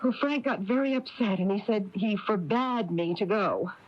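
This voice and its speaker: An actress playing an anxious, fretful small-town married woman in a 1980s radio drama, with halting, flustered delivery. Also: medium pitch, animated, slight background noise, close to an everyday voice. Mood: flustered, confiding. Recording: dull lo-fi AM radio tape.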